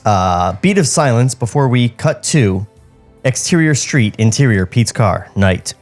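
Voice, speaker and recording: A man's voice: mid-range voice, animated, a little slow, soft background music. A man co-hosting a podcast, casually reading screenplay directions and chatting in a comedic table read.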